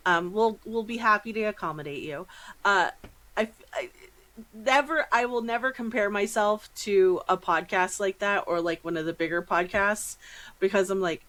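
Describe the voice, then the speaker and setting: A woman's voice: medium pitch, normal speed, a bit bright. A woman hosting a casual podcast interview in an effusive, informal, self-deprecating way, speaking into her own microphone in a room at home. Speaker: podcast host.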